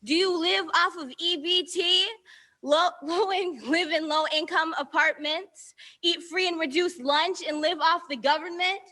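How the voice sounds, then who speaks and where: Teenage girl, spoken word poet, rhythmic rhyming delivery, high voice, bright tone. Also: fairly even intonation, measured pace. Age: teens. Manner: rhythmic rhyming recitation, defiant at times. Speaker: student poet. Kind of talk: spoken word poetry performance.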